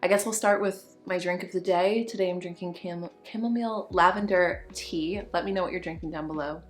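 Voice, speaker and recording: A young woman, a little hoarse from a cold, giving a casual personal update as a podcast host, reflective and pausing to find her words. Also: lowish voice, steady tone, faint music behind. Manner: reflective, searching for words, frequent restarts.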